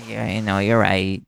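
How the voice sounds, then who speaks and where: A man acting in an audio roleplay as a nervous, caring young vampire's human partner, speaking in a halting, stammering way. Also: on the low side, vocal fry, fairly bright tone, no room sound, heavily acted. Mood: earnest, unsure.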